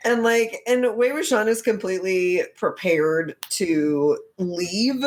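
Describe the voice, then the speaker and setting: A woman's voice: mid-range voice, slow. A woman podcast co-host chatting casually and comically as she retells a story, slangy, sweary and giggly, acting out dialogue. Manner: acts out characters' lines in mock dialogue.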